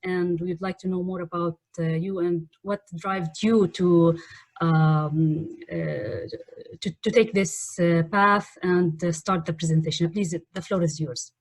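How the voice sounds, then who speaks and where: A woman hosting an online webinar, speaking off the cuff in a halting way as she searches for words. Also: lowish voice, steady tone, dry recording, low-quality recording. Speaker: webinar host. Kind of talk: unscripted webinar introduction. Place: online video call.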